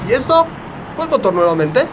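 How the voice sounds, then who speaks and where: An Argentine actor playing a dim, food-obsessed detective's sidekick in a comedic radio play. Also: male voice, very high voice, big pitch swings, a little fast, background noise. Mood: alarmed.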